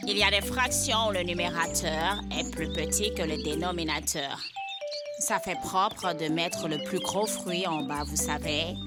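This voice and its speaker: A woman's voice: on the low side, a little slow, close to an everyday voice. A woman voicing a fussy female cartoon animal who is prim and instructive, in a children's educational cartoon. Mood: prim, explaining. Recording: loud music under the voice.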